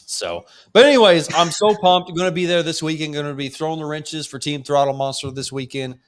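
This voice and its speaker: A man's voice: on the high side, steady tone, fairly quick. A man co-hosting a casual monster truck talk show, blunt and laid-back, chuckling as he talks. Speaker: podcast co-host. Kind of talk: casual chat.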